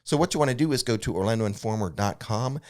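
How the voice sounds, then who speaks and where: Low-voiced man chatting casually. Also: expressive, fast, a little mellow. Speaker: co-host answering viewer questions. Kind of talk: casual unscripted theme park news chat. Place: studio.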